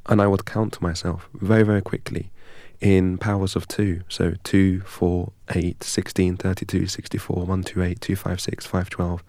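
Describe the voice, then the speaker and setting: A man from England, a guest in an interview, telling his story in a measured, deliberate way. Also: deep voice, flat delivery, darkish tone, no echo. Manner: measured and deliberate, recites long strings of numbers evenly.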